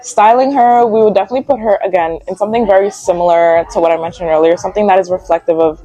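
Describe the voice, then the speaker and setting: A woman's voice: medium pitch, steady tone, rapid-fire, mellow, soft background music, rough recording. A young woman of Guyanese heritage, a magazine founder, chatting casually as an interview guest in a cafe, full of "like" fillers. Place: cafe and lounge.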